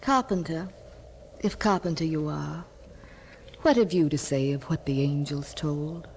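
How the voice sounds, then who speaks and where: A woman acting a tender, reverent innkeeper's wife and worried aunt in an old-time radio drama, hushed and full of wonder. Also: low-pitched, a little slow, slight background noise. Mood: pleading. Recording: vintage radio broadcast, music behind.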